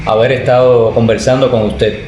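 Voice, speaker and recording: A man's voice: mid-range voice, steady tone, moderate pace, a little mellow. Male interviewer in an echoey room. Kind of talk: polite, formal interview questions. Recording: echoey room, faint background noise.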